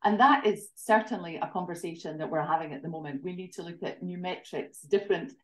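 A woman's voice: fairly low pitch, a little fast. Scottish woman on a roomy video call. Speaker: guest, tourism association director. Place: home living room. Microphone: laptop webcam video call.

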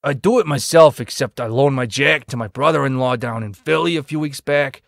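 An actor playing a brash, chatty city motorist in a comic dub, with a gravelly, wheedling delivery. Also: male voice, medium pitch, a little fast, no echo, studio quality, close to an everyday voice. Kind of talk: comic dub character acting.

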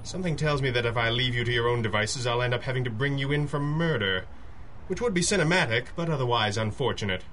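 An actor plays a composed, wealthy masked crimefighter in a radio play. His mid-pitched male voice is articulate, with dry, teasing wit. Faint noise sits behind him. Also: fast, fairly bright tone, lightly acted. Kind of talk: old-time radio drama acting.

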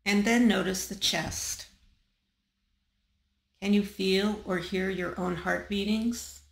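A woman guiding a body scan meditation in a calm, measured manner, leaving long pauses between short phrases. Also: mid-range voice, gravelly, roomy sound. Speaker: meditation guide.

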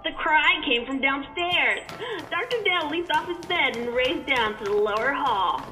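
Lady narrator for old radio thriller, high bright voice, big reverb, music under. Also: expressive, moderate pace. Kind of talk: dramatic storytelling narration, old time radio. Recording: long reverb with music behind.

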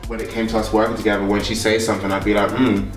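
Young guy rambling easygoing at the kitchen table, low voice, a bit distant, echoey. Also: flat delivery, rapid-fire, faint music behind. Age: young adult. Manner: laid-back, rambling with many fillers. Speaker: guest, music producer. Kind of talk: casual chat. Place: home kitchen.

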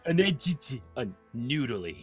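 A man's voice: medium pitch, very animated, unhurried, breathy edge, soft background music. A man chatting and joking casually as a guest in a party game. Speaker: guest player.

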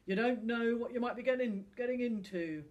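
A woman's voice: fairly high pitch, a little fast, a little mellow, dull audio, pitched above the performer's own voice, natural voice. A woman voicing a blunt, scoffing man who works as a driver, in an audiobook story. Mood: curt warning. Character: gruff, scornful male driver, blunt and mocking. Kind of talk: audiobook character acting.

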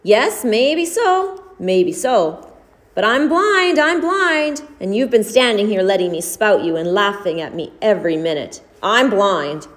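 A woman's voice: medium pitch, expressive, moderate pace, close to an everyday voice. A woman voicing a bitter, whining blind male peddler who tells his story in a pleading, dramatic way. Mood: anguished. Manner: pleading, self-pitying, rising to shouts.

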